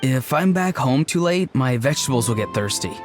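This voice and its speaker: A woman voicing a dry, unhurried elderly granny warrior in a video game. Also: rumbling low, animated, a little mellow, faint music behind. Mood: grumbling.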